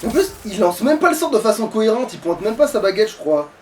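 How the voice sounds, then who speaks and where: A man's voice: high voice, very animated, fast talker. A man chatting casually as he plays through a video game, often swearing and joking crudely. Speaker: let's play gamer playing. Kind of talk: casual gaming chat with a co-commentator. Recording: distant mic, faint background noise.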